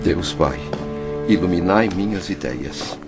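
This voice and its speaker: A man's voice: sits low, expressive, takes it slowly. A man playing the distraught, indignant spirit of a dead merchant father in an audiobook drama, pleading and melodramatic. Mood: stunned, despairing. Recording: dull lo-fi audio under loud music.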